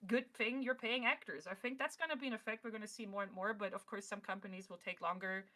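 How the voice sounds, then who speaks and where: A woman talking casually and emphatically on a livestream panel, with an encouraging, animated manner. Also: mid-range voice, fast talker. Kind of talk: casual panel talk, giving advice and opinion.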